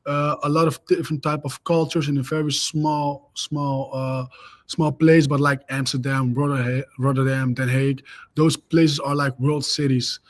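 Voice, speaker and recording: A man's voice: mid-range voice, flat delivery, normal speed, dry recording. A young man with a Dutch accent, a native Dutch speaker, talking eagerly and energetically as a podcast guest. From Netherlands. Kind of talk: casual interview chat.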